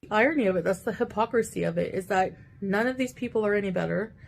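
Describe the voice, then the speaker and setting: A woman's voice: medium pitch, fast talker, creaky. A woman talking to her camera in a defensive, self-justifying way, played back in a reaction stream. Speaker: video creator.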